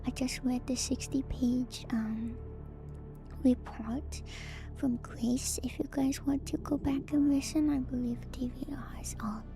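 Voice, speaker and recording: A woman whispering softly and breathily into a close mic, giving musing, hesitant ASMR commentary on a livestream. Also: high voice, little pitch movement, a little slow, over music. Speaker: livestreamer host. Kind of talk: whispered ASMR video game lore commentary.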